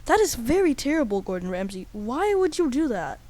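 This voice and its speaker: A woman's voice: high-pitched, very animated, fairly quick, warm tone. A woman voicing a firm, reproachful Japanese man with stilted, emphatic phrasing in an improvised comic story.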